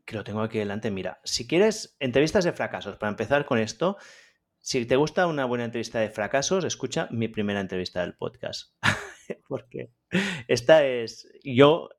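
Un hombre de Cataluña con leve acento catalán, invitado en un podcast, que habla de forma relajada, cercana y autocrítica. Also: male voice, medium pitch, normal speed. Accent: Catalan-accented Spanish. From Catalonia, Spain. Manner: relaxed, friendly, self-critical. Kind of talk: casual interview conversation.

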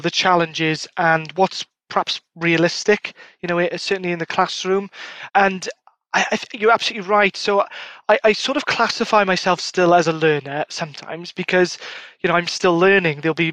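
A man's voice: high voice, sharp tone, no echo. A man being interviewed about his research as a former lecturer, speaking thoughtfully with frequent restarts and repeated words. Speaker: guest academic, former Welsh lecturer. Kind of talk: academic podcast interview.

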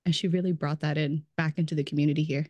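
A woman's voice: sits low, steady tone, fast talker, darkish tone, dry recording, dull audio. Woman guest, loose chatty delivery peppered with "like," close and dry sound. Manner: chatty, fills speech with "like", laughs often. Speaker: brand strategist guest. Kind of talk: casual podcast chat.

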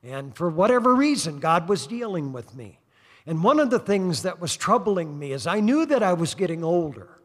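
Older preacher man with a high voice. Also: big pitch swings, moderate pace, a bit bright, in a large hall. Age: 60s. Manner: preaching with frequent "praise God" interjections; rising to fervent exhortation. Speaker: pastor. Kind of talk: sermon. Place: church service.

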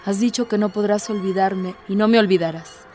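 A woman's voice: mid-range voice, a little fast, darkish tone, soft background music, lightly acted. An actress playing an impassioned, devout woman who pleads earnestly, with theatrical, declamatory delivery in a radio drama. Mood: earnest, tender pleading.

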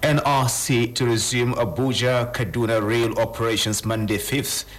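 A man with a Nigerian accent formally and deliberately reading newspaper headlines as a news presenter. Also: medium pitch, steady tone.

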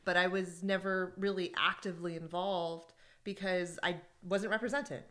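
Lively woman telling stories with laughs. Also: lowish voice, moderate pace. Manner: animated storytelling with frequent laughs. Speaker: podcast co-host. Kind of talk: casual conversation about workplace groups.

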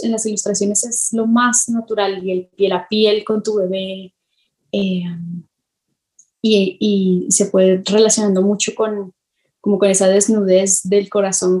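A woman, speaking reflectively in an interview, thoughtful and halting as she searches for words. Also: mid-range voice, darkish tone. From Colombia. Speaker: illustrator, podcast guest.

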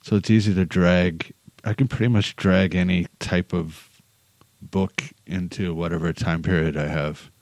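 A man's voice: low-pitched, steady tone, a little slow, darkish tone, no echo. A man in a casual chat about teaching, with a laid-back, joking, rambling way of talking. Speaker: English teacher in Korea, talk show speaker.

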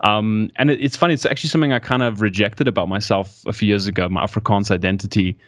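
A man's voice: lowish voice, steady tone, fast, a bit bright, no room sound, clean studio sound. A man in his twenties from South Africa, speaking thoughtfully as an interview guest and often correcting himself mid-sentence. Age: 20s. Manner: reflective, thoughtful, with frequent self-corrections. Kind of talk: casual conversational interview.

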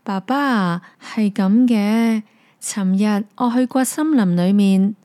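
A woman with a Hong Kong Cantonese accent voicing a fretful young princess who speaks higher and more plaintively. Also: slow pace, warm tone, no echo, studio quality, natural voice. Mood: anxious.

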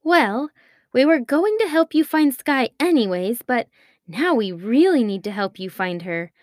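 Storybook young sister, read by a woman: earnest, firm, practical, lively high voice. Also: unhurried, no room sound, clean studio sound. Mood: determined. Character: sensible, firm young girl, the worried sister.

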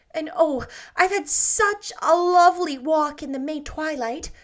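Casting: effusive, dreamy young woman, lilting and high, voiced by a woman reader. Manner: gushing, lilting, high-pitched.

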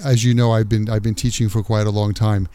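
A man's voice: on the low side, flat delivery, brisk, dark tone. A man, a longtime teacher, hosting a casual interview, asking questions and giving many affirmations, often repeating his words. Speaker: host, teacher. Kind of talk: interview conversation.

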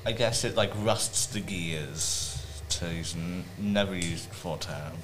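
A man in a casual podcast chat who talks haltingly, restarting and hedging as he goes. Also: low voice, background noise. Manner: halting, restarts and hedges often. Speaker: podcast co-host.

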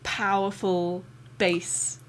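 A young woman hosting a podcast interview, chatty and encouraging, reacting with warm enthusiasm. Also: medium pitch, level tone, drawn-out words. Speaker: podcast host.

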